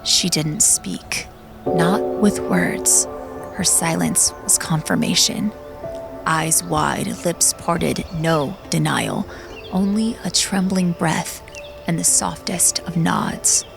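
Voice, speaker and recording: A woman narrating a dramatic scripted story in a solemn, measured manner. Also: lowish voice, creaky, music in the background.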